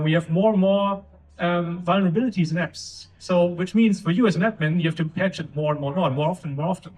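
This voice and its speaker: German-accented man, high and a little distant. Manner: relaxed, filler-heavy explaining. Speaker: solutions architect. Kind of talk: conference panel talk. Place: conference stage.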